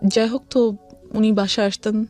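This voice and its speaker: A woman's voice: mid-range voice, flat delivery, normal speed, mellow, faint music behind. A woman with a Bangladeshi accent, an interview guest telling a personal story and often switching between Bengali and English.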